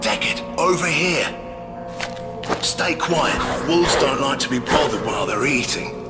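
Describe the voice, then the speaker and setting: Wary soldier scout male actor in a monster game, varied pitch, very loud music behind. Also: expressive, measured pace, natural voice. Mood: wary, hushed. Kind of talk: video game cutscene acting.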